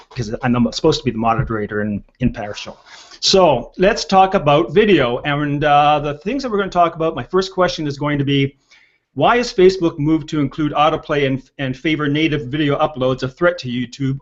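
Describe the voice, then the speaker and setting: An older man moderating a panel show over a video call. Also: on the high side. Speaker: host and moderator. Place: indoor room on a video call. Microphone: video call, earpiece worn. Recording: dull, lo-fi video call audio.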